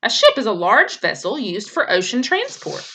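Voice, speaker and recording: Storybook robot mom, calm, formal and measured, lively pitch, as a woman reads her. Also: slight background noise, heavily acted. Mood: matter-of-fact. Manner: plain measured formal speech. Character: patient female robot mother, calm and precise.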